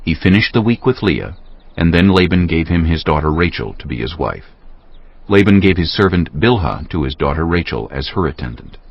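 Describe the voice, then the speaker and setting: A man's voice: low voice, expressive, normal speed, gravelly, husky. A man narrating a dramatized audio Bible in a solemn storytelling style. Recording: slightly dull sound, music underneath. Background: music.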